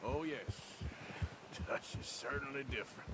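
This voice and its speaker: An American actor playing a rugged, wry Old West outlaw in a video game cutscene, with a folksy drawl. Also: male voice, medium pitch, normal speed, heavy background noise, natural voice. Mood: reflective.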